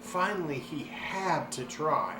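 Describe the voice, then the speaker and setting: Animated man reading a children's story, roomy echo. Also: fairly high pitch. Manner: dramatic, animated delivery with drawn-out words. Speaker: story reader. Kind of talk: expressive children's storybook narration. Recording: roomy echo, clear background noise.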